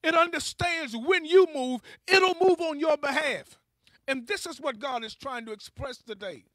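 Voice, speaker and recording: Fervent, very high-pitched male preacher. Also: normal speed, pushed and bright, dry recording. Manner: fervent, emphatic, exhorting with repeated phrases. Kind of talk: charismatic sermon.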